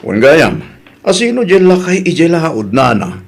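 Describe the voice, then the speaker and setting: A man's voice: on the high side, sing-song, unhurried, gravelly, slight background noise, exaggerated voice. A man playing a humble, polite husband in an audio drama, deferential and easygoing, with an Ilocano accent. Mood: friendly, curious.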